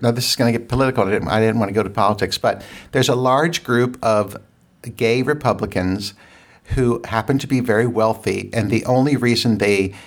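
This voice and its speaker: Fifties male host, playful interviewer who teases guests. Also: lowish voice. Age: 50s. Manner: teasing, laughs often. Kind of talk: casual chat, interviewing a guest.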